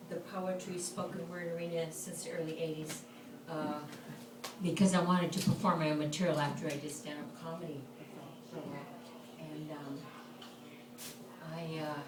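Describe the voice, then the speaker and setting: A woman's voice: low voice, background noise. A woman actor and poet talks casually on stage, rambling and full of fillers. She sounds far from the mic in a roomy live venue. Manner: chatty, rambling, many fillers. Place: lounge bar stage.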